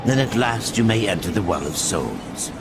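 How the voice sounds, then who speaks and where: An actor playing an ominous, ancient crow-like keeper of secrets in a video game, speaking in a solemn, portentous way. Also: male voice, big pitch swings, moderate pace. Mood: foreboding. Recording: loud rumbling background noise.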